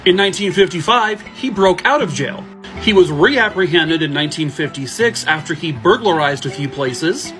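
Man in his thirties, higher-pitched voice, wry asides, true crime storytelling, phone mic, faint music behind. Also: a bit bright. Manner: sarcastic jokey asides. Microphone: phone at arm's length.

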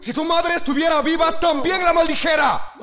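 A man's voice: extremely high pitch, steady tone, moderate pace, raised voice, over a noisy crowd. A man with a Latin American Spanish accent voicing a ruthless young prosecutor in a film dub, taunting and intimidating a suspect with vulgar contempt. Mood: furious. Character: ruthless young male prosecutor, menacing, explosively angry interrogator. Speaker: fan dub voice actor. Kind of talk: dramatic film dub acting.

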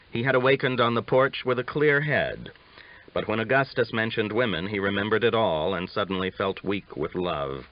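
Male audiobook narrator for a western novel, lowish voice, dull lo-fi recording.

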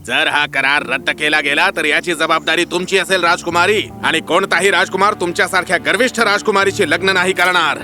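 A man plays a scheming cartoon prime minister. He is pompous and condescending, with a forceful, slightly high voice over faint music. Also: fairly even intonation, fairly quick, hardly any pauses. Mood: outraged. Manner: condescending, formal.

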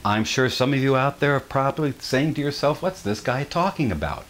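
A man's voice: medium pitch, expressive, brisk, fairly bright tone, a little hiss or hum. A middle-aged man hosting a casual kitchen demonstration, explaining each step in a folksy way with fillers, filmed in a home kitchen on a camera microphone. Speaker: channel host.

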